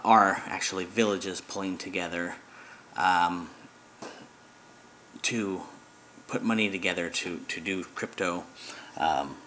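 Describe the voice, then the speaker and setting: A man's voice: sits low, fairly bright tone, slight background noise. An American man who grew up abroad, talking casually in a rambling way with many fillers and restarts, as host of his own video. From United States. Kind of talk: casual vlog talk.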